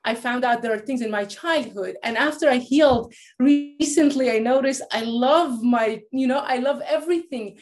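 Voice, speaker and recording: Woman, late thirties, from iran, halting, restarts often, candid personal story. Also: high-pitched, darkish tone, soft background music. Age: late 30s. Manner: halting, with many restarts and fillers. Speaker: guest, life coach.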